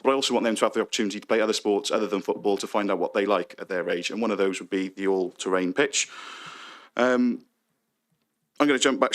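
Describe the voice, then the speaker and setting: Brief: man, thirties, reads a fast, earnest statement in a low voice. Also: a bit bright. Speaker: public speaker at council committee. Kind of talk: reading a prepared statement.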